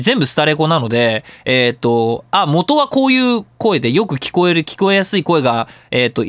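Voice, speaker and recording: Lively, quick-talking male radio host. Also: on the high side, no room sound. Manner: polite, self-joking, explanatory. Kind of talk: casual solo talk show on audio production. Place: recording studio.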